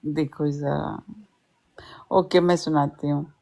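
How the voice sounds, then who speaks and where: A woman's voice: low-pitched, steady tone, takes it slowly, gravelly, mellow. A woman explaining things informally as a co-teacher in a casual chat.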